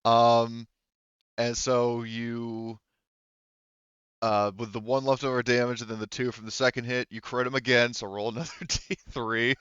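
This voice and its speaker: A man runs a tabletop roleplaying game in a smooth, clean voice. He narrates and rules casually, joking and swearing freely. The sound is close and dry but somewhat muffled. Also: medium pitch, little pitch movement, normal speed, fairly bright tone, dry recording. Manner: profane and jokey. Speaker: game master.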